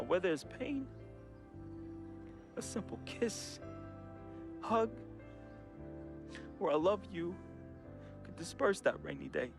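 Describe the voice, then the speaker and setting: A man's voice: high-pitched, vocal fry, full character voice. An actor playing a troubled, grieving young American man reading a farewell letter to his friend in an emotional, slangy, confessional voice-over. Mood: anguished. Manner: emotional, slangy, heartfelt urban American delivery. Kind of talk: dramatic confessional voice-over monologue. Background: music.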